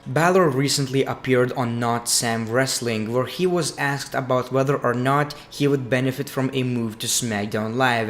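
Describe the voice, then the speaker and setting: Lithuanian man giving blunt wrestling takes. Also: medium pitch. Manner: blunt, opinionated, with a dry crude humor. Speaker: wrestling news vlogger. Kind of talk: casual commentary. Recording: echoey room with music underneath.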